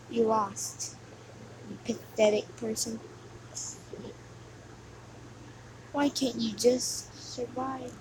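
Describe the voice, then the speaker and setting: A woman voicing a mocking, sneering demon who taunts the player in amateur video game voice lines. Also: a little high. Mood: scornful mocking. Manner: taunting, scornful, drawn-out sighing delivery. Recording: noisy amateur home recording.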